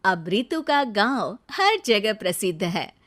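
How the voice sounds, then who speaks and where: A woman's voice: mid-range voice, sing-song, slow pace, fairly bright tone. A woman narrating a scripted cartoon story as the storyteller.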